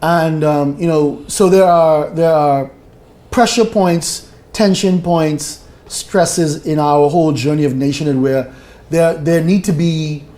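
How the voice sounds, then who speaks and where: A man's voice: fairly high pitch, slow pace, mellow. A man, speaking as a panel guest in a reflective way and repeating key phrases. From Bahamas. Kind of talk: discussion panel.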